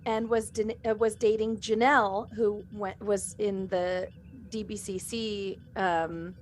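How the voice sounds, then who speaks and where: A woman's voice: mid-range voice, level tone, slow pace, a little hiss or hum. A woman telling an anecdote in casual conversation, quoting people as she goes. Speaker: podcast cast member.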